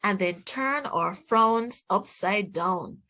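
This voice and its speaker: A woman's voice: mid-range voice, lively intonation, slow, sharp tone. A woman voicing a helpful, playful girl in a children's storybook, encouraging in manner.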